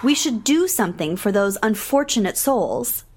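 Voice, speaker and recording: A woman's voice: a little high, very animated, normal speed. A woman acting in an audio drama as a smug, catty council politician with pointed, insincere delivery. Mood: falsely sincere. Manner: sugary insincerity with pointed digs. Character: smug, fake-sincere, catty female city council politician.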